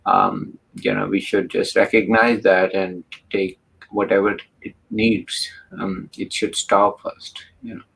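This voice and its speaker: Low gravelly male interview host. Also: lively intonation, a bit bright, low-quality recording. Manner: halting, many restarts and fillers. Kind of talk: casual interview talk.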